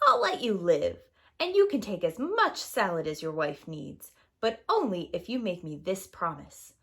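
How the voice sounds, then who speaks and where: A woman's voice: on the low side, big pitch swings, a little slow, fairly bright tone, full character voice. A woman voicing a cruel, gloating witch with a harsh voice in a children's storybook. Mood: cruel bargaining. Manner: menacing, gloating. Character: cruel gleeful old witch claiming a child.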